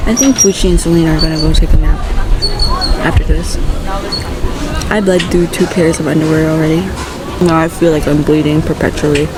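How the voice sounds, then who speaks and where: Playful young woman chatting outdoors. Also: low-pitched. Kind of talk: casual vlog update to camera. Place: outdoors at a beach. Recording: loud outdoor noise around the camera mic.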